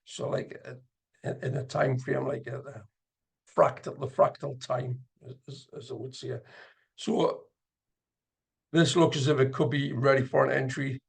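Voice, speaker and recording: Husky, gravelly-voiced man talking through screen work. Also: mid-range voice, little pitch movement, measured pace. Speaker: trading instructor and video host. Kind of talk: casual live screen-share commentary on chart trading.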